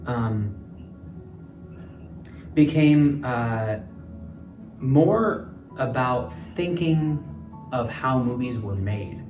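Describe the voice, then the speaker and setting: A man in his thirties answering interview questions in a hesitant, thoughtful way with frequent ums. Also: medium pitch. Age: 30s. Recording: roomy, distant mic, music underneath.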